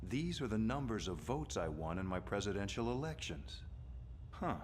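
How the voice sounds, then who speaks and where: A man playing an older president, genial and musing, talking in an unhurried conversational way. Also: lowish voice, sing-song, a little hiss or hum.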